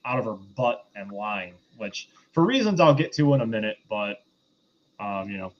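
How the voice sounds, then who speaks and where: A man hosting a review podcast, giving a casual, unscripted recap in a rambling, halting, conversational way. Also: fairly low pitch, very animated. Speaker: podcast host reviewing a film. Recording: dull, lo-fi voice recording.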